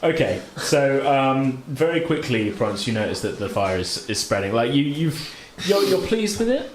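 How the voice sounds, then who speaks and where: A man's voice: mid-range voice, big pitch swings, moderate pace. A man running a tabletop game as game master, narrating scenes in an informal, conversational way. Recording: roomy echo, background noise.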